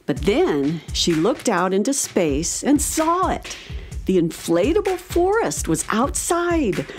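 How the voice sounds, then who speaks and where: Woman reading a kids story as narrator, slow and really expressive, guitar music going behind her. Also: mid-range voice. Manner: expressive, clearly phrased storytelling. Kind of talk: scripted storybook narration for children.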